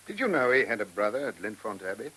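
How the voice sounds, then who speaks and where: An actor in a radio drama playing a dogged, plain-spoken English police inspector with an English accent and a matter-of-fact manner. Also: male voice, on the low side, big pitch swings, quick pace, bright, forceful tone, a little hiss or hum, poor audio. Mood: measured, probing.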